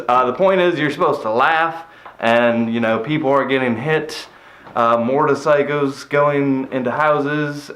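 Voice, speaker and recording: A man with a flat voice giving a rambling, cynical movie review, swearing casually, recorded in an empty room after a move. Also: lowish voice, echoey room.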